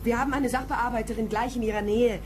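An actress playing a brisk, officious woman caller from an institute in a crime radio drama. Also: a little high, steady tone, a little hiss or hum, natural voice.

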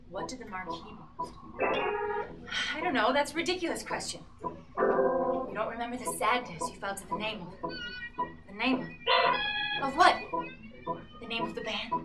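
A young woman playing an anxious mother questioning herself in a halting, agitated live radio-play performance. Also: fairly high pitch, heavily acted. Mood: hesitant, probing. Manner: agitated, halting, swinging from murmurs to outbursts. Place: small bookshop with seated audience, indoors. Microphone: audience member's camera from a few metres. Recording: distant live camera recording, music behind. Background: violin accompaniment.

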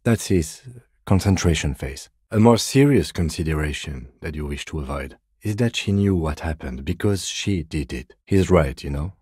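A man playing a composed, by-the-book police detective in a video game, speaking in a measured, reserved, matter-of-fact way. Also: extremely low pitch, expressive, a little mellow, breathy edge, no room sound, clean studio sound, exaggerated voice. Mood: composed, dry. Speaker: voice actor. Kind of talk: video game character dialogue.

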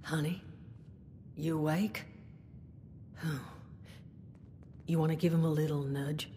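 A woman playing a weary but firm and compassionate hideout boss in a video game, speaking conversationally with hesitant pauses. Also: low voice, steady tone, warm tone, lightly acted. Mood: weary, gentle. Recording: reverberant room, background noise.

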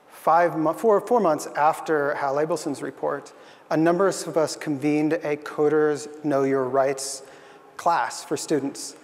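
Young man, conference talk, earnest, filler words, fairly high voice, reverberant hall. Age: young adult. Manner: earnest, thoughtful, with frequent uh and um fillers. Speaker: conference speaker. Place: stage in a large hall.

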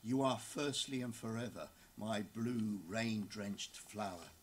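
Slow, tender male lover. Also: lowish voice. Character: tender, adoring early-1900s poetic lover. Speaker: actor reading letters. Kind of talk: dramatic reading of a love letter.

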